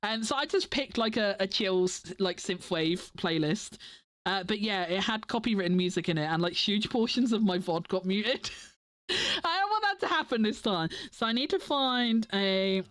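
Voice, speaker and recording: A woman's voice: mid-range voice, animated, normal speed, a bit bright, no room sound, studio quality. A woman streamer chatting casually to her viewers, stuffy and nasal from a cold, rambling and giggling often. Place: home desk. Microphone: desk mic.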